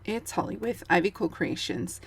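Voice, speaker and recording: A woman in her thirties, hoarse and gravelly from losing her voice, chatting through a craft project in a rambling, easygoing way with fillers. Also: medium pitch, animated, moderate pace. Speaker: scrapbooking channel host. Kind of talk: casual voice-over of a craft process.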